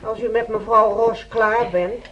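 Een actrice die in een hoorspel een pietluttige, veeleisende ziekenhuispatiënte met een hese stem speelt. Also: female voice, a little high, fairly even intonation, a little slow, lo-fi sound, a crowd in the background, lightly acted. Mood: demanding. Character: fussy, demanding, prim female hospital patient. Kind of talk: radio drama acting.

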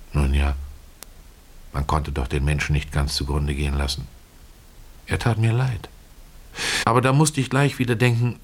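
An actor playing a poor, soft-hearted former caretaker who tells his story in a folksy, ruminative way for a radio play. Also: sits low, breathy edge, exaggerated voice. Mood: tender, rueful. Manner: folksy, ruminative, addressing a listener, with rhetorical asides. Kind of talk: dramatic first-person literary storytelling, radio play.

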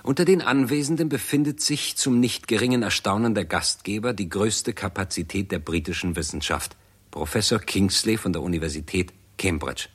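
A man's voice: on the low side, moderate pace, breathy edge. A man reading scripted narration for a 1960s radio drama in a formal, documentary-like manner.

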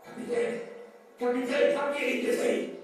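A man's voice: very high voice, little pitch movement, normal speed, recorded from a distance. An actor playing a panic-stricken ship's helmsman, crying out frantically in an old radio play. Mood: terrified. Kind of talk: 1970s German radio play acting. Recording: echoey old record with music behind.

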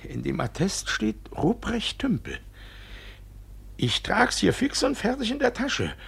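An actor in a 1950s German radio drama playing a shifty, flustered older village judge with a husky voice and a stammering, evasive manner. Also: male voice, sits high, wildly swooping pitch, measured pace, a bit bright, exaggerated voice. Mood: threatening, urgent. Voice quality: husky, gravelly.

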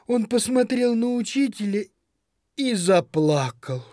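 A woman narrating a children's story in an expressive, dramatic storytelling manner. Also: a little high, slow, no room sound. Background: music.

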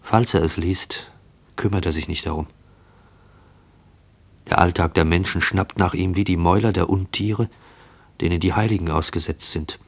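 A haunted man speaks an inner monologue in a radio play. His voice is very low and his delivery measured and introspective, as if gripped by obsession. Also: steady tone, gravelly. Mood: brooding, fixated.

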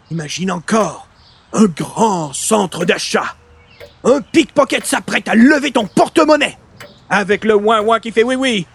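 A man playing an excitable, chatty friend in an old-time radio comedy, exclaiming with familiar enthusiasm. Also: extremely high pitch, gravelly, a little hiss or hum, heavily acted. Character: excitable, chatty friend showing off a silly invention.

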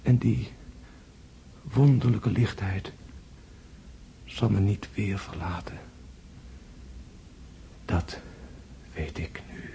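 An actor playing a gravely wounded prince in a radio drama, tender and philosophical, speaking in hushed, halting, weakened tones. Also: male voice, low-pitched, a little hiss or hum, heavily acted. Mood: solemn, serene. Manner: hushed, halting, intense delivery, often whispering. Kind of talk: Dutch radio drama acting.

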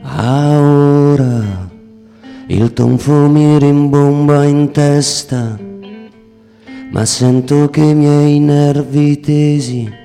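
A man playing a defiant fallen protester in a slow, half-sung theatre recitation over acoustic guitar. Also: fairly high pitch, steady tone, mellow. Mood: exalted, intense. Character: defiant fallen young protester, exultant while dying. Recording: long hall reverb.

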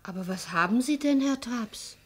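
An actress playing a polite, formal housekeeper in a radio play, with a husky voice. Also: female voice, fairly high pitch, lively intonation, moderate pace. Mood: polite, attentive. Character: polite housekeeper waking a guest. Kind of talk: German-language radio play acting.

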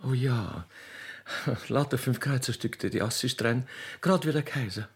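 A man voicing a naive, chatty railway keeper's daughter with an Austrian accent in a radio play, halting and repeating words eagerly. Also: mid-range voice, breathy edge. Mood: dreamy, admiring. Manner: halting, repetitive, rustic and eager. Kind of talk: radio play character acting.

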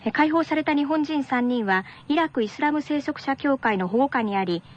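A woman's voice: high-pitched, lively intonation, normal speed, lo-fi sound. A woman announcer reading a scripted radio news bulletin.

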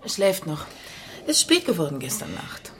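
An actress playing a secretive, long-suffering banker's wife in a radio crime drama, guarded and pointed, with a slightly husky voice. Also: female voice, deep voice, huge pitch swings, unhurried, a bit bright, a little hiss or hum, exaggerated voice. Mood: weary, defensive.

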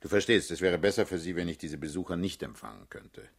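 Radio drama lead: brusque, paternal political boss, world-weary, very deep rough husky voice. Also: male voice, quick pace. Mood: tense, urgent. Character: world-weary, commanding male party leader, blunt and sardonic. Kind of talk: German radio drama acting.

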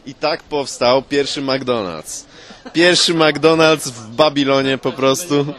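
A man's voice: mid-range voice, slow pace, a bit bright. A man improvising a comic history for friends in colloquial, sweary, mock-scholarly talk.

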